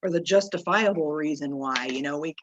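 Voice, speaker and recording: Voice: mid-range voice, fairly quick, dull audio, a little hiss or hum. A member of a casual book discussion group who is losing their voice, rambling and correcting themselves as they talk.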